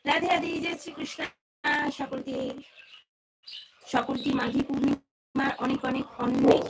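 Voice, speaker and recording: A woman's voice: high voice, steady tone, a little slow. A woman hosting a casual devotional livestream, repeating greetings and questions to her viewers. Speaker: livestream host. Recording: clearly noisy livestream audio with clicks.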